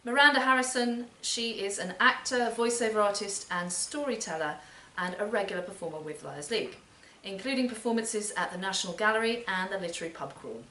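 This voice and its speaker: A woman's voice: medium pitch, fairly quick, few pauses, bright, forceful tone. Female literary-event host delivering prepared introductions, recorded at a distance in a reverberant space. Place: performance space in a library centre. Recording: roomy, distant mic, background noise.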